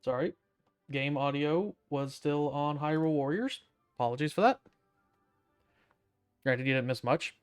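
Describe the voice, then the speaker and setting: A man's voice: a little high, moderate pace, pauses often, clean tone, soft background music. A man giving casual commentary as he streams a game, with a wry, sardonic manner.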